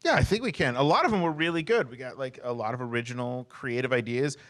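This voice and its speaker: A man's voice: medium pitch, quick pace, fairly bright tone. A man speaking as a comics company rep in a casual interview, joking and slangy, peppering his talk with "like". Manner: slangy, joking, heavy use of "like". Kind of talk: casual, animated interview chat.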